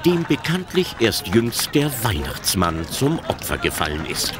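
A man's voice: fairly low pitch, sing-song, measured pace. A man narrating a comedy radio play from a script in a wry, dramatic storytelling manner.

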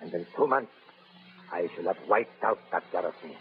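An actor playing a scheming male scientist who offers a deadly plague, with a smooth, insinuating manner, from a tinny 1930s radio broadcast. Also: deep voice, moderate pace, close to an everyday voice.

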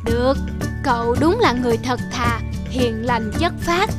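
Woman voicing a high-pitched, solemn old sage. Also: animated, unhurried, over loud music. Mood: kindly, solemn. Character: kindly frail old sage, slow and solemn.

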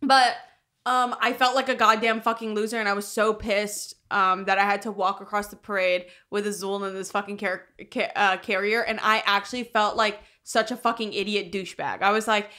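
An American woman in her twenties chatting casually as a podcast co-host, telling stories in an animated, slangy, sweary way. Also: medium pitch, a little fast. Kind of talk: casual chat, storytelling. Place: small room indoors. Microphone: podcast microphone on boom arm.

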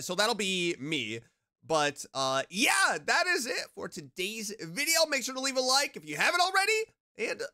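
A reaction streamer gushing over a game trailer with loud exclamations and frequent laughter. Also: mid-range voice. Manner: excitable, laughs often, exclaims loudly. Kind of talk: casual reaction commentary.

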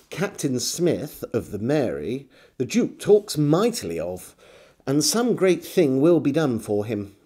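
A man in his forties reads literary prose aloud at an unhurried pace. His voice is mellow, and the pitch moves freely as he gives each phrase theatrical weight and deliberate pauses. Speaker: storyteller reading aloud. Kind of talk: expressive literary diary reading. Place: small home room with bookshelves.

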